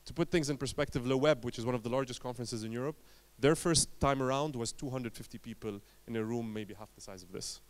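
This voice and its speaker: A man's voice: mid-range voice, fast talker, few pauses. Man telling a story on stage. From Lebanon. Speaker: conference speaker, entrepreneur. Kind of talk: conference talk, personal storytelling.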